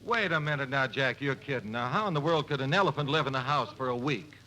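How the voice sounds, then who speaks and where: A man's voice: mid-range voice, quick pace, close to an everyday voice. An American man playing an easygoing, wisecracking friend in a 1950s radio comedy. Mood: teasing. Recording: very noisy old radio recording.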